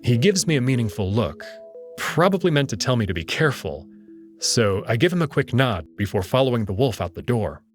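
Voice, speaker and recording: A man's voice: mid-range voice, very animated, a little fast, faint music behind. A man narrating a first-person story in a dramatic reading style.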